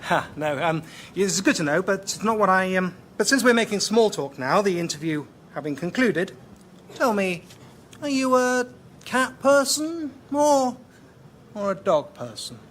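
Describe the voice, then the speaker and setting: Awkward, high-voiced British male interviewer. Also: animated, unhurried, fairly bright tone, slight background noise. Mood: awkward, guarded. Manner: hesitant, stammering, over-careful. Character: awkward, polite male job interviewer, covertly biased cat person. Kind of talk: radio sketch comedy acting.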